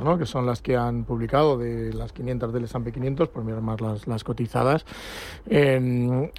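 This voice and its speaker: Male panel guest, central Spanish accent, mid pitch, unscripted and self-correcting. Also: darkish tone. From Ciudad Real, La Mancha, Spain. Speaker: financial sales executive, panel guest.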